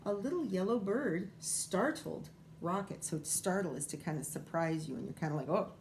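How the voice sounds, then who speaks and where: A woman's voice: fairly low pitch, normal speed, a little mellow, a little hiss or hum, low-quality recording. A woman schoolteacher reading a children's picture book aloud, informally thinking aloud and wondering as she goes.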